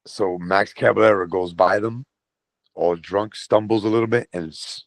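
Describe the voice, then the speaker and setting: A middle-aged man from Brooklyn with a New York accent, telling profane, animated stories as a guest on a podcast. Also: on the low side, unhurried, no echo. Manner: profane, animated storytelling with voiced quotes. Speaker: guest, metal vocalist. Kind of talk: casual storytelling.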